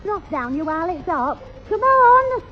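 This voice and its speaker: Doting widow, coaxing and baby-talking, high and very lively, old radio comedy with muffled sound. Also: female voice, unhurried, faint music behind, exaggerated voice. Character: fussing, doting, man-hungry middle-aged widowed neighbour woman. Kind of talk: 1960s British radio sitcom acting. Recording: dull 1960s radio recording.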